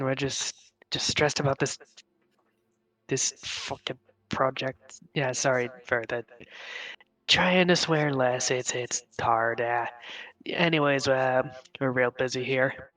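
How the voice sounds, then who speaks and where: A man's voice: medium pitch, steady tone, slow pace, a bit bright, no echo, rough recording. A man playing a tabletop game, talking in a laid-back, drawling way. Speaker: player.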